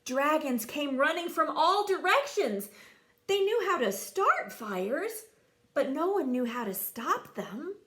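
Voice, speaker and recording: A woman reading a children's story expressively, with theatrical delivery and dramatic pauses. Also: high voice, very animated, fairly bright tone. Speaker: storybook reader.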